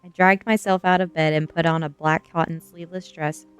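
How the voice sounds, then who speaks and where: A woman streamer with a soft, gentle delivery, reading a book aloud in an ASMR style and chatting casually to viewers. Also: low voice, steady tone, brisk, clean tone. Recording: quiet music behind the voice. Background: faint background music.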